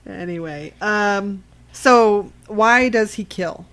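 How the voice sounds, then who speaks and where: A middle-aged woman with a mid-pitched voice hosts an informal podcast. She talks off the cuff, explaining things and nudging the conversation along with questions. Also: takes it slowly, a bit bright. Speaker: podcast host. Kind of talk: casual unscripted chat about horror films.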